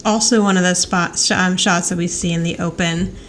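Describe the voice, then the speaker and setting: A woman co-hosting a podcast, casually recapping a TV show in an animated, retelling way. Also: on the low side, brisk. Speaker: podcast co-host. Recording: clear background noise.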